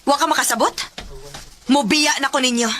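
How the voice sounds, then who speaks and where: A bitter young wife in a Filipino radio drama, with a Cebuano accent. Her voice is extremely high and sharp, and she scolds and sneers with hard-hearted scorn. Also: fairly quick, slight background noise. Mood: cold, resolute.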